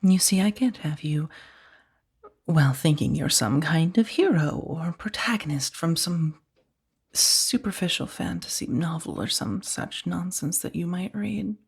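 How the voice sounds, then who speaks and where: A woman playing a powerful, seductive mafia boss in a soft-spoken ASMR roleplay, speaking in a breathy, measured, commanding and teasingly menacing way. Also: on the low side, exaggerated voice. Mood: amused, condescending. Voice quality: soft, breathy, often slipping toward whisper. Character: powerful female mafia boss, coolly controlling, seductive kidnapper.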